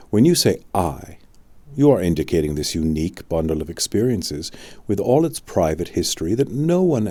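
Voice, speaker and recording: A man voicing a wise, immortal old wizard, a calm mentor teaching in a measured, solemn way in an audiobook. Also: low voice, husky, dry recording, exaggerated voice. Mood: instructive. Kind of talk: audiobook storytelling.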